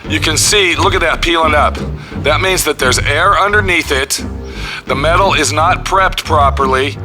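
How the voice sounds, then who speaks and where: A man in his fifties with a raspy voice, ranting and swearing nonstop as he shows work in his auto body garage. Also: fairly high pitch. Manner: heavy swearing, pissed-off ranting. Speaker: custom auto body shop owner. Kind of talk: angry workshop vlog. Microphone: camera held close at arm's length.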